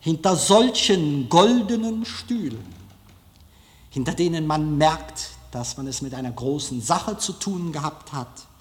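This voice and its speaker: A man giving a public lecture in emphatic, deliberate phrases with rhetorical repetition, recorded in a lecture hall. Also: fairly high pitch, lively intonation. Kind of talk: public speech, declamatory lecture.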